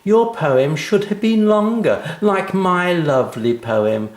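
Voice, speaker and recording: A man's voice: high-pitched, expressive, takes it slowly, roomy sound, pitched above the performer's own voice. A man voicing a smug, boastful little schoolgirl in a children's story, gushing and self-satisfied.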